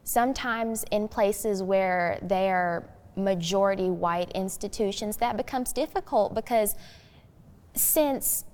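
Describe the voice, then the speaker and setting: A woman's voice: mid-range voice, slow, a little mellow. Young female guest, teens, mid-range voice, thoughtful and explanatory discussion, recorded with heavy hall reverb. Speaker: youth guest. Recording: long hollow room reverb.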